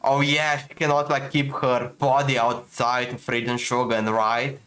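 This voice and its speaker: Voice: medium pitch, flat delivery, unhurried, a bit bright. A game streamer giving casual commentary, reacting, questioning and thinking aloud while playing. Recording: voice over game music.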